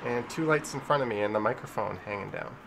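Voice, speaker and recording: A man's voice: lowish voice, quick pace, creaky, noisy background. A man hosting a casual livestream, chatting informally and reading out viewer messages as he comments. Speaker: livestream host.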